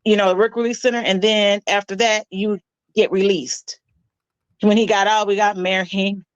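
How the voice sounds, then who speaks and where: A woman in her forties telling her story in an interview, matter-of-fact and a little halting. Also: mid-range voice, flat delivery, clean tone, no room sound. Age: 40s. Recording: dull, dry lo-fi clip audio.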